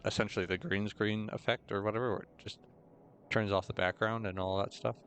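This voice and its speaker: Young man, throat a bit rough, low-voiced, loose rambling game commentary, faint background hiss. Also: steady tone, a little fast, a bit bright. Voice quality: slightly hoarse. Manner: rambling, self-correcting, with many fillers. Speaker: gaming video host. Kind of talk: casual gameplay commentary. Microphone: desk mic with noise gate.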